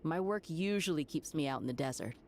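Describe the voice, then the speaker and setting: A woman's voice: deep voice, lively intonation, a little fast, slight background noise. A woman playing a friendly, frank desert mercenary in a video game, casual and straightforward but polite. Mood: friendly, curious.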